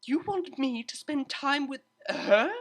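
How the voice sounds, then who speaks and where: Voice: sits high, lively intonation, takes it slowly, fairly bright tone, voice pushed up, full character voice. A narrator voicing a refined, haughty young female pony cellist with a prim, disdainful manner. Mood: indignant.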